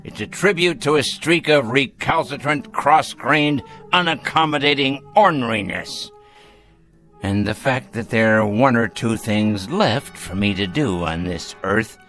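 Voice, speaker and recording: A man's voice: mid-range voice, animated, unhurried, a bit bright, faint music behind. An actor playing an elderly, dying rich man in a radio drama, cantankerous and sardonic, with florid speech. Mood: defiant.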